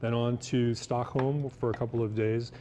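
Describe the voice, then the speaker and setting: Male guest cook, mellow relaxed voice, casual explanatory demonstration, hesitant phrasing, faint background noise. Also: medium pitch, moderate pace. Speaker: guest cookbook author and cook. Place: TV kitchen segment.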